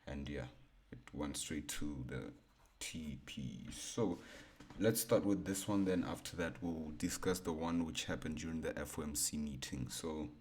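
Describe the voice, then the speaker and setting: A young man with a baritone voice casually talking through a chart breakdown, laid-back and repeating himself as he thinks aloud. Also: a little slow, a little mellow. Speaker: forex trading educator.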